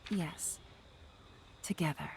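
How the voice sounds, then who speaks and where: A woman acting as a gentle, grave game heroine searching for her past, speaking solemnly and deliberately. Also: noisy background, lightly acted. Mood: earnest, grave.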